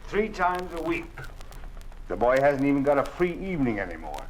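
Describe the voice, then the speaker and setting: Man as a wary, bitter family father, dry and guarded, lively inflection, faint hiss; 1950s radio drama. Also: medium pitch, normal speed, lightly acted, rough recording. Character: bitter, guarded father living under oppression.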